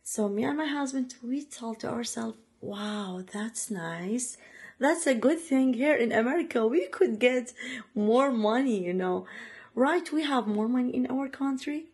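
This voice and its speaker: Slow, halting woman from Iraq. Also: on the high side, animated, darkish tone, slightly rough. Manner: halting, many fillers and restarts, second-language phrasing. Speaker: interviewee. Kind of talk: personal story, casual.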